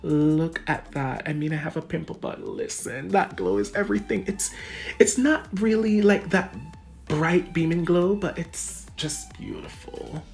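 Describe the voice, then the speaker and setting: A woman's voice: sits low, lively intonation, measured pace, creaky, a little mellow, faint music behind. A woman with a Jamaican accent narrating a makeup tutorial in a chatty, casual way, talking straight to her viewers. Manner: chatty, addresses viewers as guys, laughs often. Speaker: beauty vlogger. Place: home room under bright lights.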